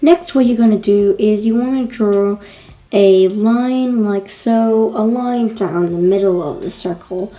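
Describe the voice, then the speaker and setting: A woman with a croaky, fading voice talking viewers through a drawing tutorial, chatty and repeating her steps. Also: medium pitch, measured pace, warm tone, faint music behind. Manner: chatty, repeats instructions with fillers. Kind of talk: casual step-by-step drawing tutorial.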